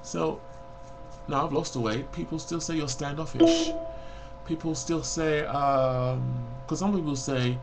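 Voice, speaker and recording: A man's voice: a little high, expressive, measured pace, vocal fry, music in the background, poor audio. A man of forty talking frankly and bluntly to a webcam in a personal confessional vlog, rambling and reflective. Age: 40s. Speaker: vlogger.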